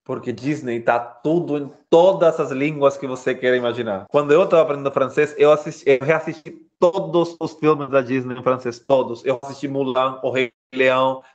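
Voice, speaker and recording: A man from Brazil, a guest in a casual interview, giving frank, animated advice and often addressing listeners directly. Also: mid-range voice, moderate pace, hardly any pauses, highs cut off.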